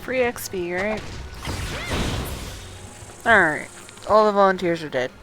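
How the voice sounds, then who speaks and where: A woman's voice: mid-range voice, animated, slow pace, over music. A woman streaming a game walkthrough, reading quest text aloud and casually muttering to herself as she plays.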